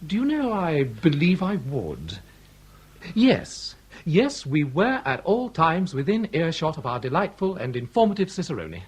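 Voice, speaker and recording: An actor playing a suave, snobbish baronet in a period comedy radio drama, with an upper-class British accent and effusive, arch phrasing. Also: male voice, a little high, wildly swooping pitch, measured pace, slightly rough, heavily acted. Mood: arch evasion.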